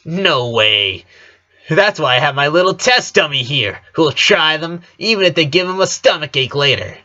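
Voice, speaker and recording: Storybook tomboy sister, played by a man: high, bashful yet cocky, on lo-fi audio. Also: big pitch swings, normal speed, sharp tone. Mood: teasing. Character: flustered, defensive sporty tomboy sister, teasing and bashful. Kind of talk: storybook character voice.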